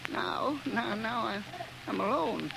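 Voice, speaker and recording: A man's voice: very high voice, gravelly, fairly bright tone, a little hiss or hum, full character voice, rough recording. An actor playing an unhinged, giggling male killer who stammers and talks in crude grammar, in a 1930s radio drama. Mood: menacing.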